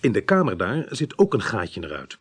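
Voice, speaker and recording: An actor playing a courteous, probing police inspector with a quietly wry manner in a radio drama. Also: male voice, fairly low pitch, huge pitch swings, moderate pace, husky, exaggerated voice. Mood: courteous, pleased. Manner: courteous, repeats himself, probing.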